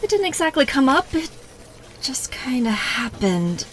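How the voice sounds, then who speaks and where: A woman's voice: high-pitched, sing-song, unhurried, fairly bright tone, slight background noise, heavily acted. A woman playing a timid newsroom assistant who hesitates and stammers in a radio drama. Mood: flustered, anxious. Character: flustered, timid young female newsroom assistant.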